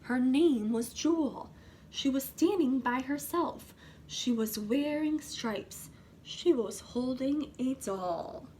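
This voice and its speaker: Woman teacher doing a kids read-aloud, high pitched and really expressive, some background noise. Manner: pauses to ask listeners questions. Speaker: kindergarten teacher.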